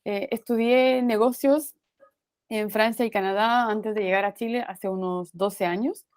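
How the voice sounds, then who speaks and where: A woman's voice: medium pitch, steady tone, unhurried, a little mellow, smooth, no room sound. Woman, Romanian accent, guest lecturer on a video call; filler-heavy, tag questions, dry close sound. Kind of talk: online university class talk.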